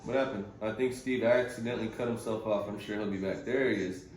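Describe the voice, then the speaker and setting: A man's voice: fairly low pitch, steady tone, fast, a little mellow, very echoey, soft background music, poor audio. A man coaching a group on a conference call, explaining things informally and conversationally with casual slang. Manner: casual and conversational, uses slang like bro and bud.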